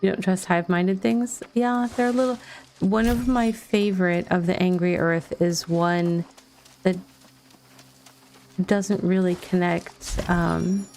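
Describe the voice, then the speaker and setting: A woman giving casual let's play commentary and chatting with viewers in a rambling, halting way. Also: on the low side, fairly even intonation, a little mellow, slight background noise. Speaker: game streamer.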